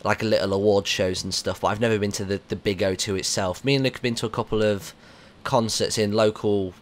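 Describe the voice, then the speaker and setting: Fast-talking English male radio interviewer. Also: on the low side, fairly even intonation, slight background noise. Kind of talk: casual chatty interview.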